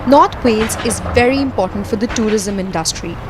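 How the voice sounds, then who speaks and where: A woman reporter giving measured, scripted documentary narration, partly recorded outdoors at a quarry site. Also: mid-range voice, background noise.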